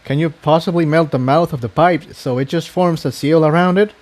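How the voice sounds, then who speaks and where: A man's voice: a little high, a little fast, a little mellow, voice pushed up, lightly acted. A man voicing an earnest, practical young male unicorn pony who explains things matter-of-factly in a story.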